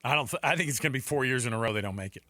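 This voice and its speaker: Casting: American male sports radio host, bright and punchy, dry studio mic, casual opinionated commentary with rhetorical questions. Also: mid-range voice, animated, rapid-fire, no echo. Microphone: close studio headset mic.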